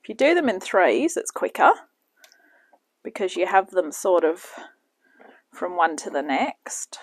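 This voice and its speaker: A woman's voice: on the low side, very animated, a little slow, slightly rough, dry recording. A woman chatting casually as she cooks in her home kitchen and hosts her own cooking channel. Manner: chatty, talks while working in the kitchen.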